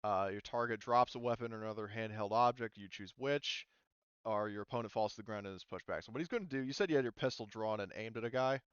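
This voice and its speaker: A man's voice: on the low side, quick pace, clear, resonant tone, dry recording, highs cut off. A man running a tabletop roleplaying game as game master, casually explaining rules.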